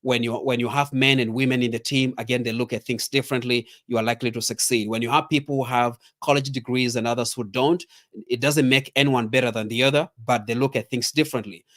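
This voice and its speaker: A man's voice: medium pitch, steady tone, quick pace, a bit bright. A middle-aged man from Kenya with a Kenyan accent, giving a motivational training talk as a leadership coach, emphatic, repeating key points and addressing listeners directly.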